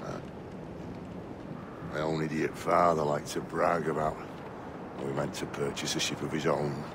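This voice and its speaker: An actor playing a gruff, bitter, hard-drinking pirate captain in a video game, coarse and gravelly. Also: male voice, a bass voice, flat delivery, normal speed, very noisy. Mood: bitter, brooding. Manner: coarse, cursing, brooding. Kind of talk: dramatic video game acting.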